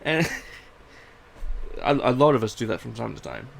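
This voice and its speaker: A young man from Canada talks in a candid, rambling way, his accent drifting between British and Canadian. His voice carries a gravelly, fry-edged texture, and a faint ambient hiss sits behind him. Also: medium pitch, a little slow. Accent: British mixed with Canadian. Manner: candid, rambling, self-deprecating. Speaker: podcast host. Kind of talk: casual personal monologue.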